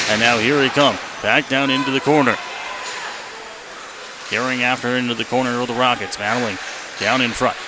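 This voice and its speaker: Man calling hockey over loud arena noise. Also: medium pitch. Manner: clipped running phrases, rising to excited calls on shots. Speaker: ice hockey play-by-play announcer. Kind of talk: live radio sports commentary. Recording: noisy live arena radio feed.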